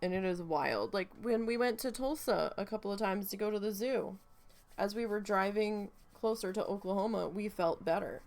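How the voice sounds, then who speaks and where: A woman talking in a relaxed, meandering vlog chat as she works on a craft, her voice carrying a gravelly fry. She wanders from point to point with plenty of fillers and casual swearing, at an ordinary middle pitch. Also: moderate pace, slight background noise. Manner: rambling, full of fillers, swears freely. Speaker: craft vlogger. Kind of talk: casual chat while needle felting.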